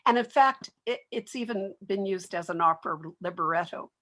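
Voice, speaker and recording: A woman introduces a literary reading in an explanatory talk. Her voice sits at a middling pitch for a woman, and she speaks as a presenter setting out background for listeners. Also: lively intonation, normal speed, fairly bright tone, a little hiss or hum.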